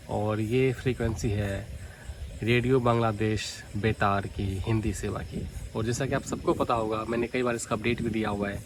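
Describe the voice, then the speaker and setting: A man with an Indian accent explains things casually to viewers in a vlog, often restating his points. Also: mid-range voice. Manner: explanatory, repeats points, addresses viewers directly. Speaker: vlogger, shortwave radio hobbyist. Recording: clearly noisy home recording, radio static.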